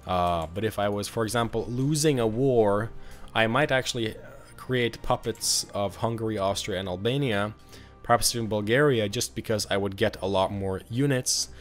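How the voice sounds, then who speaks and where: A man's voice: fairly low pitch, moderate pace, soft background music. A young man with a slightly sick, off-sounding voice, explaining a strategy game in a casual, rambling tutorial. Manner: relaxed, rambling with many uh and um.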